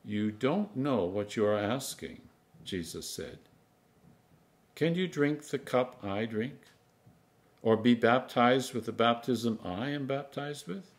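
A man in his sixties, an Anglican priest, reading scripture aloud in a measured, solemn, emphatic manner. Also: medium pitch, expressive. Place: home kitchen, indoors.

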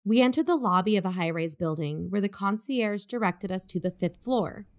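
A woman playing an earnest investigative podcast host, narrating in a composed, measured way. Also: medium pitch, expressive, lightly acted. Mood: measured, descriptive. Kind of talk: scripted narration in audio drama.

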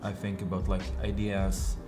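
A man's voice: low-pitched, monotone, normal speed. A teenage boy from the Czech Republic, a Czech native speaker, answering interview questions hesitantly with many fillers. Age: teens. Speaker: guest. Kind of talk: casual interview. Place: indoor room with wooden wall. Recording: roomy echo, music under it.

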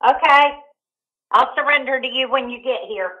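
A woman's voice: high voice, normal speed, sharp tone. A woman with a Southern US accent talking casually on the phone in a defiant, goading way. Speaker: prank call target. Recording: muffled telephone call.

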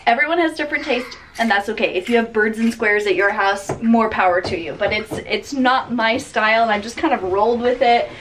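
A woman's voice: on the high side, fairly bright tone, slight background noise, rough recording. A young woman chatting upbeat and enthusiastic to a handheld camera as she cooks and fixes things around her house. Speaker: homemaking vlogger. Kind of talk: casual chat to camera. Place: home kitchen and small bathroom. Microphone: handheld camera at arm's length.

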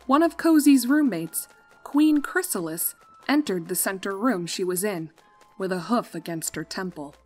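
A woman narrates a scripted story in a medium-pitched voice. She reads as a storybook narrator does, with soft music behind her. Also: animated, measured pace.

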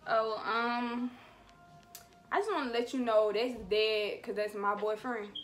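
A woman's voice: a little high, slow, soft background music. A young adult woman, chatting casually and making prank calls as a vlogger in a bedroom. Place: small bedroom, indoors.

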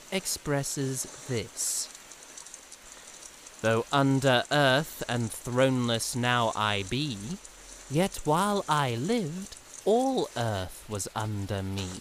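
A man's voice: mid-range voice, fairly bright tone, slight background noise, low-quality recording. A man playing a haughty, courtly young knight in a fan audio drama, with grandiose, archaic, theatrical delivery.

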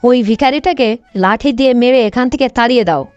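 A woman's voice: on the high side, brisk, a little mellow, clean tone, dry recording, faint music behind, close to an everyday voice. A woman voicing a proud younger sister and mother in a cartoon morality tale, in a dull, lo-fi recording. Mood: haughty, irritated. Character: haughty, dismissive younger sister and mother, later humbled.

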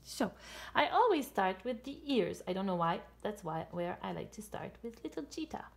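A woman in her thirties talks children through a drawing in short, simple phrases. Her voice sits at an average pitch with a noticeable creak. She speaks as an illustrator demonstrating while she works. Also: big pitch swings, normal speed.

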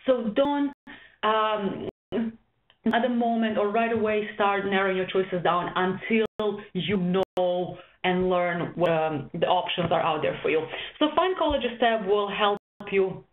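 A woman's voice: medium pitch, unhurried. A young woman from Serbia, a volleyball coach, giving a webinar presentation with many ums and restarts. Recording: tinny webinar audio, echoey room.

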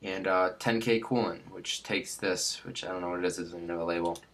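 A man's voice: low-pitched, steady tone, fast talker, fairly bright tone, slight background noise. A young man with a stuffed-up nose hosting a casual game tutorial, rambling with many fillers and restarts. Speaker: Minecraft tutorial host.

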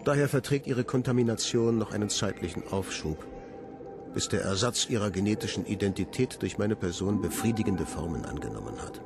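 A cold, sinister scientist in a radio drama. His lowish voice is detached and clinical, delivering formal, precise sentences over prominent music. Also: animated, a little fast. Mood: coldly clinical. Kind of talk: German radio drama monologue.

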